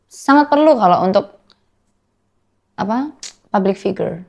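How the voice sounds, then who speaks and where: A woman's voice: mid-range voice, lively intonation, a little slow, creaky, a little mellow, muffled recording. A young woman from Madiun with a thick Javanese accent, chatting casually as a podcast guest and dropping in Javanese and English words. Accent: Javanese-accented Indonesian. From Madiun, East Java, Indonesia. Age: young adult. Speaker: guest singer.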